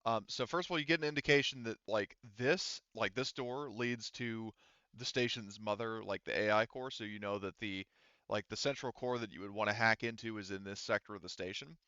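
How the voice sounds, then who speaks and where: Crisp, bright male game master. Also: fairly low pitch, brisk, no room sound, dull audio. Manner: casual, hesitant, thinking aloud. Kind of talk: narrating an online tabletop roleplaying game.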